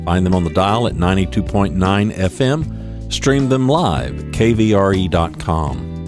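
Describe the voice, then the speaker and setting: A man's voice: low voice, animated, unhurried, music in the background. A man reading scripted sponsor messages as a podcast announcer. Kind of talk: scripted ad read.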